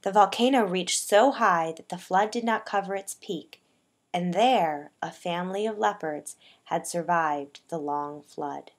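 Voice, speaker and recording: A woman narrating a poetic tale for a puppet show in a measured, deliberate storytelling manner, letting her phrases soften as they end. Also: fairly low pitch.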